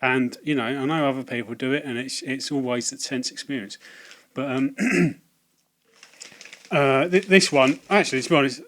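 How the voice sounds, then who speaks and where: A slightly hoarse man, talking casually to camera about video game purchases, hesitant with many ums and restarts. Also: medium pitch, normal speed, fairly bright tone. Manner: hesitant, lots of ums and restarts, wry asides. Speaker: video game collecting vlogger. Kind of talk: casual rambling vlog to camera.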